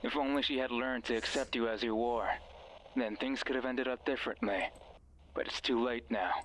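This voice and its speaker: A man's voice: mid-range voice, fairly even intonation, normal speed, slight background noise, lightly acted, poor audio. Man acting as sinister commanding radio voice. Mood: coldly commanding. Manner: commanding, manipulative, insistent. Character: sinister controlling male radio voice urging violence. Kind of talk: visual novel voice acting.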